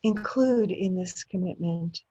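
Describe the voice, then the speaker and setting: A woman meditation teacher leading a guided meditation in gentle short phrases with long pauses between them. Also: fairly low pitch, breathy edge. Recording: dull, muffled lo-fi audio.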